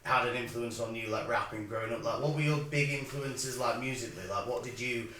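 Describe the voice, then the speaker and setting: Chatty host, echoey and set back. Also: male voice, mid-range voice, a little fast, pushed and bright, far from the mic. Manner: chatty, "like"-heavy, encouraging. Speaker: podcast host. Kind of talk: casual interview chat. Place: recording studio. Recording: echoey room, set back from mic, faint noise.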